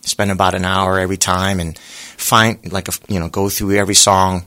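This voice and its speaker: A singer being interviewed, talking in a relaxed, rambling way with lots of pauses and fillers. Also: male voice, low-pitched. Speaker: singer guest. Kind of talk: casual interview chat.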